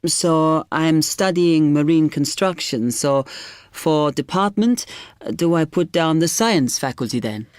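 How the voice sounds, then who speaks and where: A man's voice: a little high, lively intonation, a little slow, clear, resonant tone, no echo, studio quality. An actor playing a young male postgraduate student whose first language is German, asking hesitant, polite questions in a scripted exam dialogue. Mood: unsure. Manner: hesitant, polite, many ums. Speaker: new international postgraduate student.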